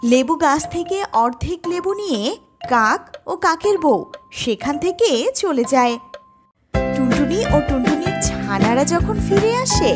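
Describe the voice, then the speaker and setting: A woman reading scripted narration for a children's cartoon tale. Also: high voice. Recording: loud music over the voice.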